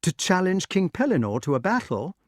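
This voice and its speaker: A man's voice: sits high, big pitch swings, fairly quick, no room sound, clean studio sound, voice pushed up. A man voicing a polite, earnest young boy in an audiobook, with a well-mannered way of talking. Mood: curious. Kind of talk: audiobook character voice.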